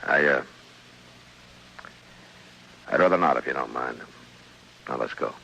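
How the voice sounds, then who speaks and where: Very deep-voiced, grave frontier marshal. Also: male voice, unhurried, vocal fry, highs cut off, heavily acted. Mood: grave, resigned. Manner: grave, terse, plain-spoken. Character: stern, weary, dutiful frontier marshal, loyal friend. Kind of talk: old-time radio western drama acting. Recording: noisy old radio drama recording.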